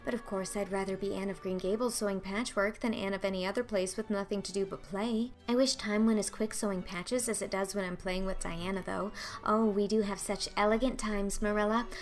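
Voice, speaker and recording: A woman's voice: medium pitch, fairly even intonation, brisk, lightly acted. Storybook role: dreamy, talkative orphan girl, played by a woman, gushing, breathless, theatrical, faint music underneath. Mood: dreamy chatter. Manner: breathless, gushing, dramatic, flowery wording. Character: excitable, dreamy, talkative eleven-year-old orphan girl. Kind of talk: audiobook character acting.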